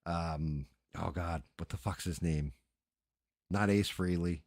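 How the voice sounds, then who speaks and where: A man's voice: low-pitched, steady tone, slow, a little mellow, no room sound, studio quality. A middle-aged man chatting casually as a livestream host, crude and joking in a rambling, sweary way.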